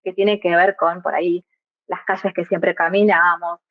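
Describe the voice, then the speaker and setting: A woman's voice: on the low side, level tone, normal speed, dry recording, phone-quality sound. A young adult woman from Argentina with an Argentine Spanish accent, a guest who speaks reflectively and keeps adding little tag questions. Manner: thoughtful, often adds tag questions. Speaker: guest, migrant mother. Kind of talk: reflective podcast conversation.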